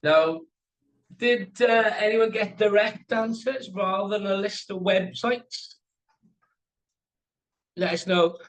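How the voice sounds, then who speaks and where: A man's voice: high voice, slow pace, pauses often, low-quality recording. Upbeat Welsh man presenting a workshop. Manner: upbeat, quotes statistics, invites the audience to join in. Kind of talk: live online workshop talk.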